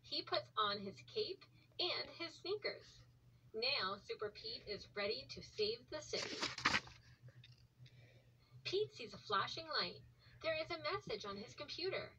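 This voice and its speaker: Woman for children's read-along, slightly high voice, heavy noise behind her. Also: animated, a little slow, pauses often, lo-fi sound. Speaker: host reading a picture book aloud. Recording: noisy, distant home recording.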